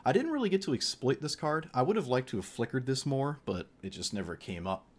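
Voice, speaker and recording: A man's voice: mid-range voice, big pitch swings, fairly quick. A man giving rambling, opinionated, casual game commentary as a streamer, his voice a little worn from talking. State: voice getting tired, losing it. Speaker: streamer reviewing card game decks.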